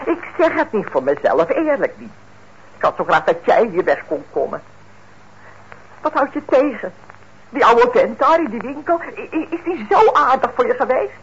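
A woman's voice: on the high side, sing-song, normal speed. An actress playing a bitter, manipulative mother nearing forty in a radio play, nagging and wheedling. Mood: pleading, insistent. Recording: dull, noisy old radio recording.

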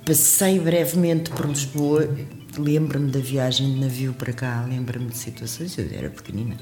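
An older woman from Mozambique, a singer recalling her youth in a lively storytelling manner with frequent laughs. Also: moderate pace, reverberant. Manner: lively storytelling, laughs often, shifts pitch when quoting. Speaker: guest singer. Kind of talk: reminiscing interview talk.

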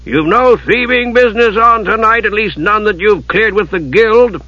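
A menacing wiry boss of thieves as a male reader acts him, gruff and growling. He is commanding and ominous, husky, on a slightly dull recording. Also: on the high side, expressive, moderate pace, bright, forceful tone, no echo, heavily acted.

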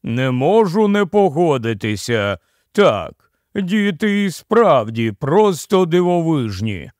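A man's voice: fairly high pitch, big pitch swings, slow, darkish tone, dry recording. Male reader as a storybook giant king, regal, courteous, formal, wide melodic swings, dry sound. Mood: measured approval. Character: handsome giant king, stately, courteous, faintly sinister. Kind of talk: acted audiobook storytelling.

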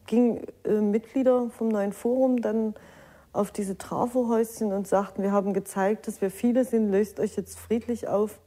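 A woman's voice: medium pitch, fairly even intonation, normal speed, dark tone. A woman giving a personal eyewitness recollection for a documentary.

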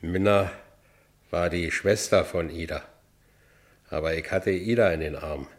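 Deep-voiced rough Berlin ex-convict. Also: male voice, a little slow. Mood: wistful narrating. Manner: coarse Berlin vernacular, swinging from brooding to exultant. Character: rough, brawny ex-convict labourer, volatile and self-pitying. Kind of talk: German radio play acting, monologue and dialogue.

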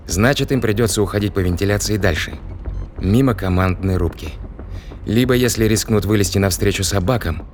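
A man's voice: on the low side, a little hiss or hum, close to an everyday voice. An actor playing a decisive spaceship crewman who reasons aloud and gives orders in an audio drama. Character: decisive male spaceship crewman planning a counterattack.

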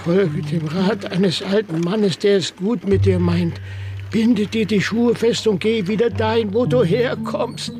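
High-voiced, fretful old priest. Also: male voice, over music. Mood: anxious pleading. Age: older. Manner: anxious, pleading, halting. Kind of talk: radio play acting.

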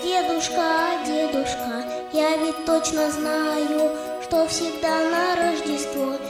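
A performer playing a curious little boy, pleading in a slow singsong in a children's audio story. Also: high voice, level tone. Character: curious, pleading little grandson in a Christmas tale. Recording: echoey, under loud children's music. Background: loud tinkling children's music.

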